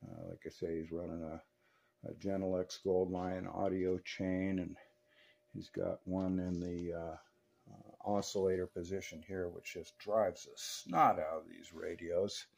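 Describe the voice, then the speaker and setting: Gravelly American man talking radio shop. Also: low voice, flat delivery, unhurried, darkish tone. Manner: folksy radio slang. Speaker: radio repair technician and video host. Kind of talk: casual hobbyist demonstration and CB radio chat. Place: indoor radio workbench.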